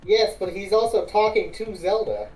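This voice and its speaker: A woman's voice: mid-range voice, lively intonation, moderate pace, darkish tone, recorded from a distance. A woman chatting casually as she co-reads a fan story. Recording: roomy echo, music behind.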